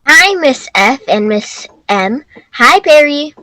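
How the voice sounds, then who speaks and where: A woman's voice: high-pitched, sing-song, slow, pushed and bright, a little hiss or hum, heavily acted. A woman reading a sweet, earnest, overworked young stepdaughter in a readers theater play. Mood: willing.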